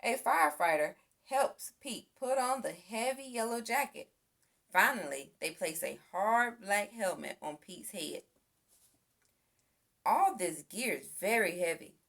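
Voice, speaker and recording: A woman reading a children's picture book aloud as an expressive storyteller. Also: mid-range voice, a little slow, vocal fry. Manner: expressive, acts out sound effects and exclamations. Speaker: storybook reader.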